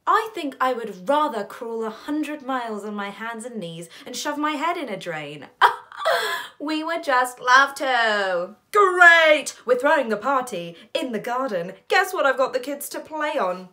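A woman's voice: sits high, very animated, moderate pace, few pauses, pushed and bright, heavily acted. A woman acting a theatrical monologue as a weary, sardonic, foul-mouthed character, telling her story in a wry, dramatic way with exaggerated quoted voices. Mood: mock cheerful.

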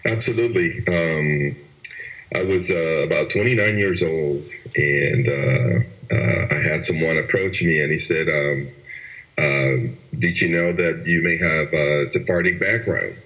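A man's voice: very deep voice, a little slow, bright, forceful tone. A man in his forties from Venezuela, telling his life story earnestly as a guest in an interview. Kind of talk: personal testimony interview. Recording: dull lo-fi recording.

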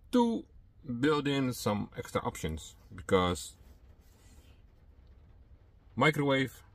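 A man with a Dutch accent, a native Dutch speaker, talking casually and a bit haltingly as he shows and explains things in a truck vlog. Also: lowish voice, fairly bright tone, no room sound. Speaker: truck driver and vlogger. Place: inside a truck cab.

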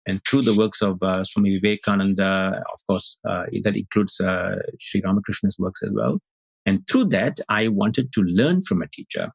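Middle aged guy from singapore, husky voice, dry sound, halting guest on a call. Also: low voice, dry recording. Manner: thoughtful, halting with many restarts. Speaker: guest, Advaita Vedanta teacher. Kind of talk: casual interview talk. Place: indoor room on video call.

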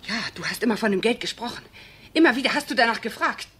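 An actress playing a distraught, defiant widow with emotional intensity in a crime radio drama. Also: female voice, fairly high pitch, lively intonation, fast, a bit bright, husky. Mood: shaken, accusing. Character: distraught, defiant widow facing a dangerous former lover.

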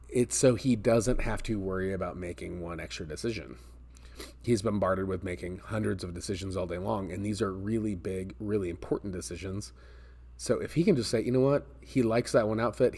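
A man's voice: lowish voice, darkish tone. An American man in his forties talking to camera in a conversational, explanatory way, repeating words for emphasis as he gives business advice. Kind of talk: casual advice talk to camera. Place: indoor office space with metal ceiling and glass garage door.

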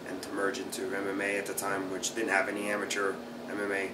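A man in his thirties, raised in South Florida, answering interview questions in a relaxed way with lots of uh and you know. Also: deep voice, quick pace. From Brazil, raised in South Florida. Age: 30s. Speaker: jiu-jitsu fighter and instructor. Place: small plain room indoors, seated in office chair. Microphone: camera at a distance. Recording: echoey room, distant mic, background hum.

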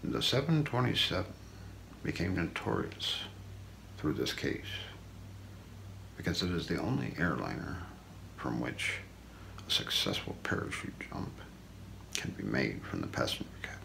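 A middle-aged man reading an ASMR true-crime story in a hushed, deliberate way, often slipping into a near-whisper. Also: rumbling low, big pitch swings, gravelly, poor audio. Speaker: ASMR role-play narrator. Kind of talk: ASMR true-crime narration read from notes. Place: small room indoors. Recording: faint background noise.

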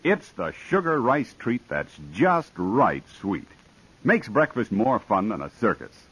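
A man announcing and reading a cereal commercial in smooth, upbeat sales patter, 1950s radio style. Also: mid-range voice, very animated, a little slow, fairly bright tone, low-quality recording. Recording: dry old radio broadcast.

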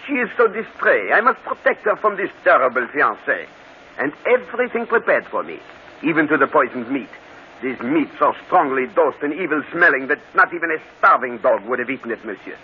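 A shrewd, self-assured detective with a French accent. His fairly high voice swings widely, with mock politeness and theatrical precision. It comes over a tinny old radio broadcast. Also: sing-song, a little fast, slight background noise. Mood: triumphant, mocking. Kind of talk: 1940s radio mystery acting.

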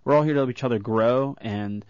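A man's voice: medium pitch, brisk, darkish tone, dry recording. A young man from rural Florida, a comedian guest, talking earnestly about his past in a radio studio. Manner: earnest, reflective, with fillers. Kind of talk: casual interview chat. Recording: dull lo-fi studio sound.

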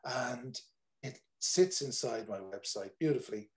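A man's voice: mid-range voice, animated, unhurried. A man in his forties with an Irish accent, a tour operator talking casually on a video call, rambling with many fillers and restarts. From Ireland. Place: home room, indoors.

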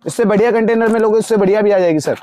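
A young man in a crowded cosmetics shop, rattling off wholesale prices per dozen and per piece in a rapid sales patter. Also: sits high, warm tone. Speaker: wholesale shopkeeper. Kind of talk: sales pitch to camera.

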